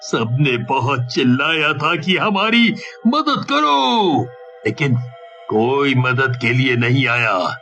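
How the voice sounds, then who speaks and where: A man voicing a vengeful, gloating ghost ship captain in a cartoon, with a drawn-out, menacing delivery. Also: mid-range voice, very animated, pushed and bright, faint music behind, full character voice. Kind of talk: cartoon villain acting.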